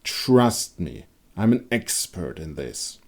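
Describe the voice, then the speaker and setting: A man voicing a hardened older monster hunter, blunt, controlled and contemptuous, in a dark fantasy audio story. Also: deep voice, sing-song, slow, exaggerated voice.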